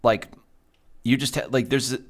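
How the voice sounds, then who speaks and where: A man's voice: medium pitch, steady tone, normal speed. A man from Texas with a Midwestern American accent, chatting casually and swearing as he streams a game. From Texas, USA. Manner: rambling, sweary, talks while playing. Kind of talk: casual let's-play chat.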